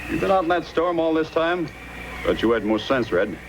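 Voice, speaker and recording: A man playing a brisk, authoritative Mountie sergeant in an old-time radio adventure, speaking in measured, commanding lines. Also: fairly high pitch, big pitch swings. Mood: stern, watchful. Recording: noisy old radio transcription, reverberant.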